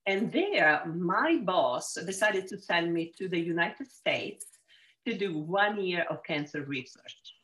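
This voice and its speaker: A woman's voice: on the low side, animated, takes it slowly, low-quality recording. Italian-accented woman telling her story. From Sicily, Italy. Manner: warm storytelling with frequent restarts. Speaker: real estate agent, guest. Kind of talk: casual interview chat.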